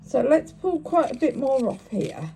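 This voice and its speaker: A woman's voice: high-pitched, big pitch swings, measured pace, vocal fry, mellow, a little hiss or hum. A woman, sniffly with a cold, talking through each step of making a bracelet in a chatty, apologetic way. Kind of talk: crafting tutorial.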